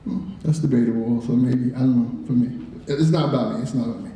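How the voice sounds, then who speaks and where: A man giving a halting, self-deprecating speech at a school awards ceremony. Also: mid-range voice, dark tone, slight background noise. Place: school hall. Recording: echoey hall.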